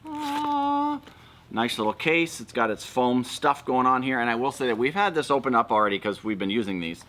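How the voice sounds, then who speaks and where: A man's voice: mid-range voice, very animated, moderate pace, fairly bright tone. A man giving casual, matter-of-fact unboxing commentary, explaining things as a vlog co-host.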